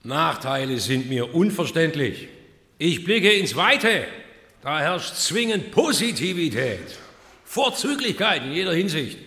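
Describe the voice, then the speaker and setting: Lofty professor, animated, echoing hall. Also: male voice, fairly high pitch, unhurried, full character voice. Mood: lofty, dismissive. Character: Hegel, lofty rambling male philosophy professor on the witness stand. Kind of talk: satirical radio play acting. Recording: echoey hall with long reverb.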